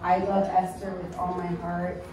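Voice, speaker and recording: A woman giving a heartfelt speech at a gala, introducing a guest with many pauses and restarts. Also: lowish voice, completely flat delivery. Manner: warm-hearted, halting with restarts. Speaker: gala speaker. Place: gala event hall. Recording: echoey hall, distant mic, noisy.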